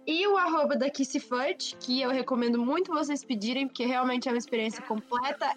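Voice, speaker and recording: A woman with a Brazilian Portuguese accent hosting a podcast, chatty and emphatic. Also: high voice. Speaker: podcast host. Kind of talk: casual podcast chat.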